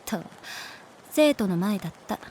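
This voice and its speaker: A woman's voice: medium pitch, animated, measured pace, darkish tone, slight background noise, natural voice, rough recording. A woman playing a pragmatic, teasing female schoolteacher in a Japanese audio drama, moving between polite firmness and casual bluntness. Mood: firm, polite, exasperated.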